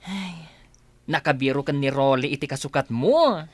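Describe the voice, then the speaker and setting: A woman's voice: rumbling low, animated, moderate pace. A woman with a Filipino accent acting a frank, nosy best friend in an exclamatory, teasing radio drama style. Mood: frank, advising.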